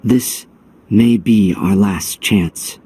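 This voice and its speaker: A man's voice: lowish voice, drawn-out words, warm tone, slight background noise. An actor playing an earnest, wistful young male hero, a resolved outcast, with reflective, solemn delivery in a video game cutscene.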